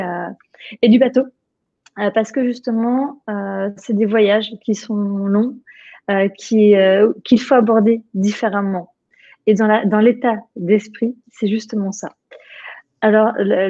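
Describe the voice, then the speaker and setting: Female panel guest, warm mellow voice, hesitant, dull dry lo-fi sound. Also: mid-range voice, no echo. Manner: plain-spoken, hesitant fillers. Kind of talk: casual panel discussion.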